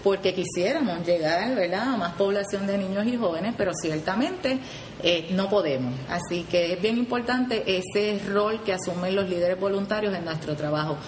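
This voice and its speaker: A woman's voice: medium pitch, normal speed, echoey room, a little hiss or hum. A Puerto Rican woman who leads a youth program, explaining it earnestly in an interview and often adding "¿verdad?" as she goes. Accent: Puerto Rican Spanish. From Puerto Rico. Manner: earnest, frequent "¿verdad?" tags and restarts. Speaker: 4-H program leader, guest.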